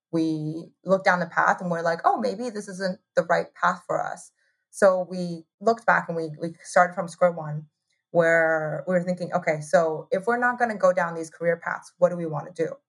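A woman in her thirties from Taiwan tells her story as an interview guest. Her voice sits low and has a husky, breathy edge. She talks in a loose, chatty way, laughing often and leaning on "like". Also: rough recording. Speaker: guest, hot sauce co-founder.

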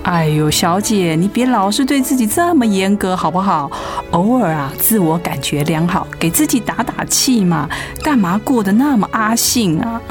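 An actress playing a breezy, supportive editor friend with a Taiwanese accent, chatty and teasing, in a radio drama. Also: female voice, mid-range voice, expressive, normal speed, few pauses, over music, lightly acted. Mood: teasing, encouraging. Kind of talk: Mandarin radio drama acting.

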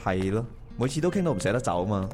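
A man's voice: lowish voice, very animated, fast talker. A man acting as a regretful, hesitant married man in a Cantonese radio drama, talking conversationally. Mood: wistful. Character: regretful, hesitant married man meeting his first love. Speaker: voice actor playing the male lead. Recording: music under the dialogue.

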